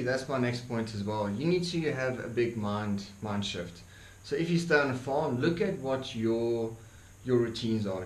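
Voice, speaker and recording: Earnest Afrikaans-accented young man. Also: medium pitch. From South Africa. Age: young adult. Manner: earnest, frequent ums and repeated words, gestures with hands. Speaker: YouTuber. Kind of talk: opinion monologue to camera. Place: dark indoor room, seated by desk. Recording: echoey room with faint hiss.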